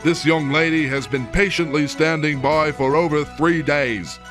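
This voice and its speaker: A man's voice: a little high, steady tone, unhurried, natural voice. A man playing a pompous, florid field reporter in a satirical radio drama's mock newscast. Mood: grandiose. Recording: faint music under the voice.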